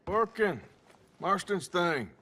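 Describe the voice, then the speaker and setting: An actor playing a gruff, sarcastic outlaw cowboy with an American drawl in a video game cutscene. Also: male voice, high-pitched, big pitch swings, slow, sharp tone, a little hiss or hum, full character voice. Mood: casual.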